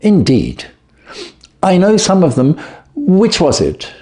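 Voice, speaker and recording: A shrewd Victorian detective, played by a male storyteller, brisk and sure of himself. His storybook lines are articulate and decisive, with pitch that moves around a lot. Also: huge pitch swings, warm tone, exaggerated voice. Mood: genial, probing. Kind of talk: storybook character voice.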